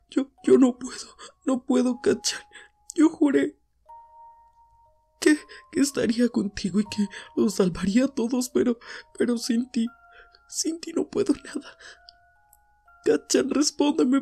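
A woman playing a desperate, grieving teenage boy hero in an anime fandub drama, pleading in halting, repeated phrases. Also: on the high side, warm tone, slightly rough, full character voice. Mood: sobbing, despairing. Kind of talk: Spanish fandub audio drama, emotional acting.

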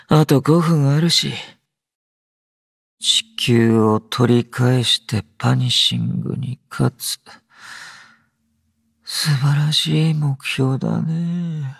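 An actor playing a drowsy, low-energy young male android soldier in an anime game, aloof yet gentle, with a languid, understated delivery. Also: vocal fry, dry recording, studio quality, heavily acted. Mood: sleepy, reluctant, then dry, unhurried. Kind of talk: Japanese anime game character voice lines.

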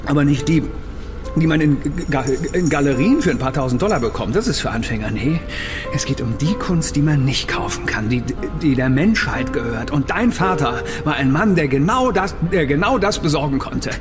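Nervous male captive, radio drama, played by a man: evasive, repetitive, stumbling speech, fairly high voice. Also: animated, music in the background, lightly acted. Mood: sly, lecturing. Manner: halting, stammering, repeats himself. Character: nervous, evasive captive leak-platform man, wheedling and self-important. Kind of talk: German radio drama acting.

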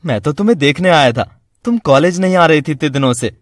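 A man's voice: on the high side, animated, brisk, no echo, studio quality, natural voice. A man playing a devoted, worried young college student in a cartoon story, earnest and reassuring. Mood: shocked, concerned.

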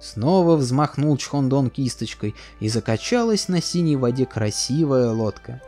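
A man narrating a fairy tale for a cartoon in a sing-song, expressive storytelling manner. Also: medium pitch, unhurried. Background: faint music.

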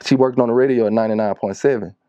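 A man's voice: mid-range voice, steady tone, rapid-fire, mellow, no room sound, muffled recording. A man in his thirties with a Louisiana Southern accent, chatting casually and telling stories in slangy talk as an interview guest. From Shreveport, Louisiana, United States. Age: 30s. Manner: slangy, conversational. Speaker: music producer, interview guest. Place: small wood-panelled recording studio.

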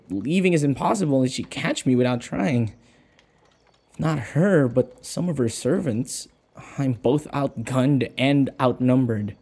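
A man's voice: medium pitch, gravelly, darkish tone, slightly rough, full character voice. A man playing a nervous, submissive human captive of a vampire mistress in an audio roleplay, stammering, hesitant and apologetic. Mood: fearful resignation.

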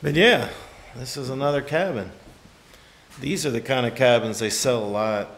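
A man's voice: mid-range voice, fairly bright tone. A middle-aged man with a Maine accent, casually musing as he films a tour of an unfinished cabin on his phone. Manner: musing, unhurried, trailing off. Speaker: vlogger touring a cabin. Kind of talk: casual walk-through commentary. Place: outdoors in autumn woods and inside an unfinished wooden cabin. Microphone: phone camera at arm's length.

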